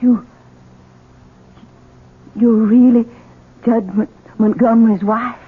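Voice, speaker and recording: An actress playing a defiant, frightened young bride held captive, in shrill, melodramatic 1970s radio style. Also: female voice, fairly even intonation, slow pace. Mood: shocked. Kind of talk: 1970s radio drama acting. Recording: tinny, noisy old radio recording.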